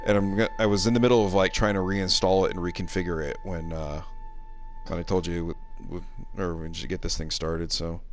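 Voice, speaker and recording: A man's voice: low-pitched, steady tone, fairly quick, soft background music. A man hosting a game stream with casual, sarcastic and irreverent chat. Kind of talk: casual chat over gameplay.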